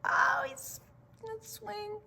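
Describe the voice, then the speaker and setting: A woman reading a children's picture book aloud in an expressive storytime style. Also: very high-pitched, slow, vocal fry, slight background noise.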